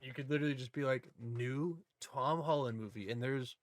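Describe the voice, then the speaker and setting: A man's voice: mid-range voice, moderate pace. A man hosting a casual podcast discussion, speaking informally and steering the conversation along.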